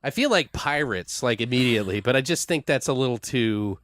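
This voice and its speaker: A man's voice: mid-range voice, animated, brisk, fairly bright tone, a little hiss or hum. A man hosting a horror podcast in casual, joking, profane banter. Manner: informal, profane, frequent joking. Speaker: podcast host.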